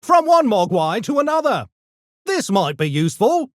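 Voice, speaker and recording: A man's voice: very high-pitched, sing-song, normal speed, dry recording, studio quality. A man voicing an urbane, mischievous gremlin for a video game, with posh, pompous, theatrical and mock-polite diction. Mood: smug, urbane. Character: urbane genteel mischievous gremlin, a genetically engineered intellectual creature. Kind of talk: video game character voice lines.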